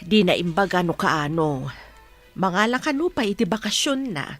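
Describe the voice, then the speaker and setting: Low, lively Ilocano village woman acted by a woman. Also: moderate pace, dry recording, soft background music. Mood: protesting. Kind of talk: Ilocano radio comedy drama acting.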